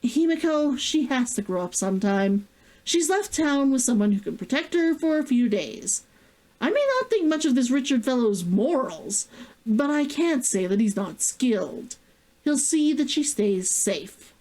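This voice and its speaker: A woman's voice: high voice, very animated, measured pace, a little mellow, pitched above the performer's own voice. A woman voicing an exasperated, firm male town healer who is losing his temper in a story read aloud. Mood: exasperated, reasoning.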